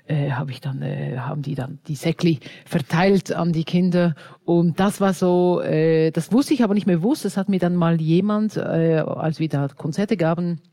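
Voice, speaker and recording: A woman's voice: fairly low pitch, normal speed, dark tone. A middle-aged Swiss woman with a Swiss German accent, telling her story as a guest in an interview, hesitant and full of fillers. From Switzerland. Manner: hesitant, many fillers and restarts. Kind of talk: casual interview.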